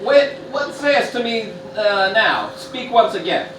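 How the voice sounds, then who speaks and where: A man's voice: high-pitched, animated, slow. A man playing a bumbling, self-important ruler with hesitant mock-regal delivery in a comic Shakespearean radio play. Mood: puzzled, pompous. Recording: echoey room, distant, noisy.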